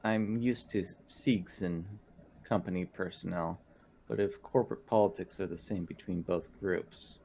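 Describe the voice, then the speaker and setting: Tabletop game android assistant, acted by a man: female character, polite, formal, exact, low register. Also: fairly even intonation, vocal fry, a little mellow, slight background noise. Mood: polite, measured. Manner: measured, formal, clinically precise wording.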